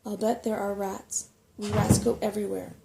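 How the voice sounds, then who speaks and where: A woman's voice: mid-range voice, level tone, moderate pace, gravelly, heavy background noise. A woman voicing a fussy, chatty, know-it-all old male rat in a storybook reading, with emphatic delivery. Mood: chatty, confident.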